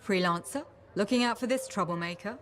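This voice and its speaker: Woman voicing a cool in-control boss lady in a game, medium pitch, businesslike. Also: slight background noise, close to an everyday voice. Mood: composed. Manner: measured, authoritative. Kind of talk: game dialogue acting.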